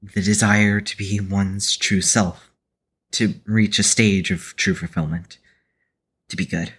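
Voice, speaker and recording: An actor playing a timid, apologetic, earnest student in an audio drama, meek and solemn. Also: male voice, lowish voice, fairly even intonation, slow pace, a bit bright, breathy edge, full character voice.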